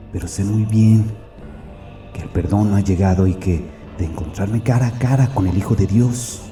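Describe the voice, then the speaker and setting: An actor playing a tormented, fanatical murderer in hiding, in a solemn, brooding audio drama monologue. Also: male voice, low voice, dark tone, natural voice. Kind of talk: dramatic first-person audio story monologue. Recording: echoey, distant, music behind.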